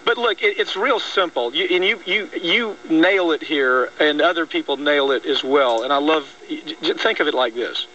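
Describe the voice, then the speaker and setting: A man's voice: on the high side, animated, moderate pace, few pauses, pushed and bright, slight background noise. An American man talking opinionated politics on the radio in a blunt, exasperated, emphatic way. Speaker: talk radio host and trial lawyer. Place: radio broadcast. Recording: dull lo-fi radio, distant.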